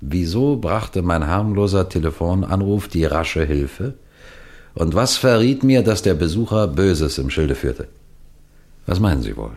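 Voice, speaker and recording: Low-voiced, theatrical, wily police detective. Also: male voice, animated, unhurried, darkish tone. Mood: genial, teasing. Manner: theatrical, courteous, teasing. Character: wily, composed male police detective telling his own case. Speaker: narrator and lead actor. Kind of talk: old-fashioned German radio crime play acting.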